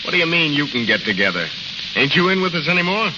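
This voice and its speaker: Fast, high-pitched American gangster. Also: male voice, sing-song. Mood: indignant. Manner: rapid slangy tough-guy talk. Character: pushy, suspicious male gangster, hot-tempered. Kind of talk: 1930s-40s radio drama acting. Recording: noisy, muffled vintage radio recording, long reverb.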